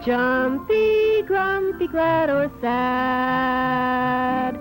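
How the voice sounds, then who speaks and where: A woman's voice: sits high, steady tone, extremely slow. A woman delivering lyrical, sing-song narration for a vintage educational film, with music behind her. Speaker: narrator and singer.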